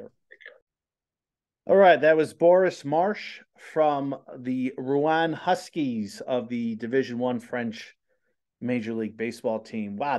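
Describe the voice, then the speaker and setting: A man's voice: medium pitch, a little mellow. A man, hosting a casual interview over video call from a small home studio, chatty and rambling. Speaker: host. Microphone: studio mic on boom arm.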